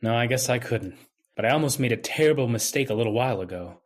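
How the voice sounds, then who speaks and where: A man's voice: mid-range voice, expressive, fast, close to an everyday voice. A man playing a guilt-ridden, deferential young doctor in an old-time radio drama, earnest and subdued. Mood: guilty, deferential. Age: young adult.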